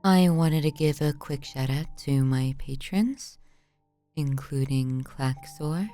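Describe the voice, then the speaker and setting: Casting: female ASMR creator for channel outro, soft-spoken, very dark mellow tone, slow delivery. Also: deep voice, faint music behind. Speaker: ASMR creator thanking patrons.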